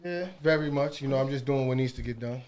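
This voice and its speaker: Streetwise New York man, mid-pitched. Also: quick pace, darkish tone, slight background noise. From Coney Island, New York. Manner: slangy, streetwise, self-assured. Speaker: rapper and interview guest. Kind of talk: casual interview chat.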